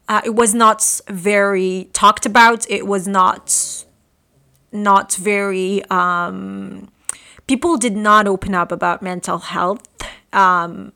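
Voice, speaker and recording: An earnest woman in her late twenties from Morocco talks through a personal story on her podcast. Her voice is bright and clear, with a pitch in the middle range. She speaks haltingly and often restarts her sentences. She is recording in a room at home. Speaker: podcast host.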